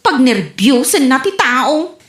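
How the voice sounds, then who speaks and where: A woman playing a nosy, animated younger household member in an Ilocano comedy radio drama, with an Ilocano accent. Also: high-pitched, takes it slowly, full character voice. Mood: curious complaining. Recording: echoey room, faint music behind.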